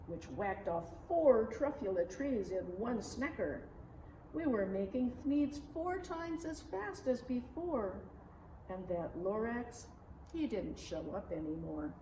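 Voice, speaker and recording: A woman reading a rhyming children's story aloud in an expressive, measured, dramatic way. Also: a little high. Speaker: storybook reader. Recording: roomy, distant mic, faint noise.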